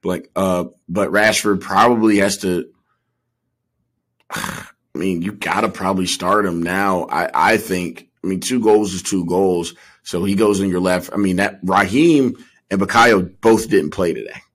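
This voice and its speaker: A man with a low voice talks sports as a podcast co-host. His delivery is informal and slangy, and he repeats himself to drive a point home. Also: measured pace, a bit bright, husky. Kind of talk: casual sports chat.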